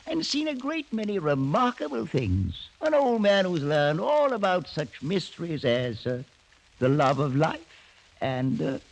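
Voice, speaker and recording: A man's voice: on the high side, heavily acted, low-quality recording. An actor playing a sly, gloating old nobleman uncle who savours his words with mock courtesy, in a 1940s radio drama. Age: older.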